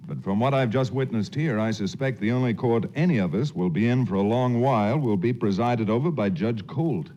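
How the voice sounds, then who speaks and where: An actor playing a wry, confident cowboy hero in a 1940s radio western, with folksy dry humour. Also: male voice, mid-range voice, fairly quick, hardly any pauses, warm tone, close to an everyday voice. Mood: easygoing. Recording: muffled 1940s radio recording, faint noise.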